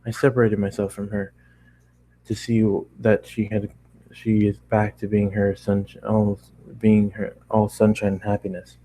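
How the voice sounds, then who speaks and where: A man's voice: fairly low pitch, monotone, unhurried, warm tone, a little hiss or hum, low-quality recording. A tired man reading a story aloud as an amateur narrator, often stumbling and rereading phrases. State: tired, running out of steam. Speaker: amateur fan-fiction reader.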